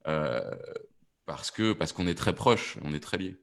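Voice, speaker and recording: Male producer on a panel, very deep voice, halting search for phrasing. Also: animated. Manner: halting, repeats words while searching for phrasing. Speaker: audio fiction producer, panel guest. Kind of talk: panel discussion, explanatory.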